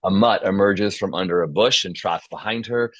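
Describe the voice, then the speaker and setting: A man's voice: sits low, normal speed, no room sound. A man hosting and narrating a script table read in an informal style. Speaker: host and stage-direction reader. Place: video call.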